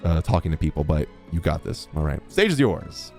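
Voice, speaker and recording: A woman streaming a game with casual, expressive, jokey reaction commentary and exclamations. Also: a bass voice, brisk, music in the background.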